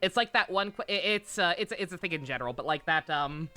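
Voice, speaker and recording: A woman with a bright, forceful voice gives casual reactions on a game livestream. She is informal and jokey, talking to viewers in slang. Faint game music plays behind her. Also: lowish voice, animated, fast talker.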